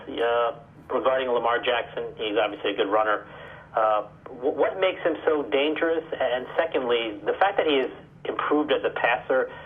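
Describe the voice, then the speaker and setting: A man reporting for a paper, asking detailed questions on a telephone press conference. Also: medium pitch. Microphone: phone call. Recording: narrow, tinny telephone line.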